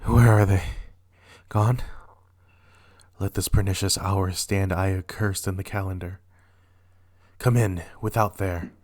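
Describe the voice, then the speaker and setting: A man's voice: deep voice, steady tone, unhurried, long pauses, darkish tone, no room sound. A man playing a tormented, imperious and ruthless king, declaiming weightily in a dramatic Shakespeare reading. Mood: angry, urgent.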